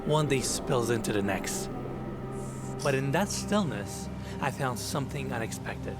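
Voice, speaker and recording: Excitable young man, native Turkish speaker. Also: medium pitch, measured pace. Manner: excitable, exclaims and laughs while crawling through tight spaces. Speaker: host. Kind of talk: casual vlog chat and voice-over narration. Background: background music.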